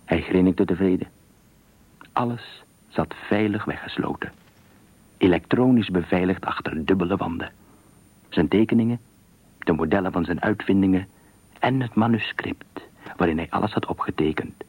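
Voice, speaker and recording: A man narrating a literary radio thriller story in a measured, expressive storytelling manner. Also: low-pitched, breathy edge, poor audio.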